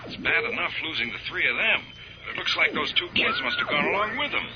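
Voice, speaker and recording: A man's voice: a little high, wildly swooping pitch, fast. An actor playing an earnest, worried man at a rocket base in an old-time radio science fiction serial. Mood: worried, sorrowful. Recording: very noisy, dull old radio recording.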